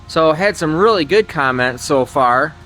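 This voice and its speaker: A man's voice: a little high, animated, normal speed, bright, forceful tone, faint music behind. A man hosting an engine-building video, chatting casually to viewers and thinking aloud, often trailing off mid-sentence. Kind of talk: casual shop talk to viewers. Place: engine workshop.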